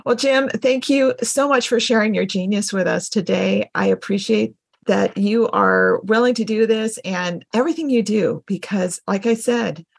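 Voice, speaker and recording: Woman podcast host, middle-aged, husky with plenty of vocal fry. Conversational, encouraging, appreciative. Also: mid-range voice, moderate pace, dry recording, studio quality. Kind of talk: conversational interview hosting.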